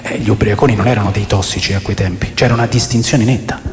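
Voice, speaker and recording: An actor playing an aging, rebellious rock musician who recounts his wild past in a deliberate, theatrical radio drama narration with a gravelly voice. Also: on the low side, fairly even intonation, lightly acted. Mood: wry, reflective. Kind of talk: dramatic first-person memoir narration. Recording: long reverb over music.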